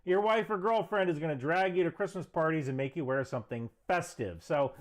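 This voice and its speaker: A man's voice: on the high side, fairly quick. A man presenting a scripted explainer commentary with emphatic, structured delivery. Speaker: video presenter.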